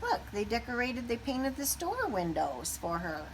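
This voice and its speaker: A woman reading a children's picture book aloud expressively, pausing to put questions to young listeners. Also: fairly high pitch, wildly swooping pitch, noisy background.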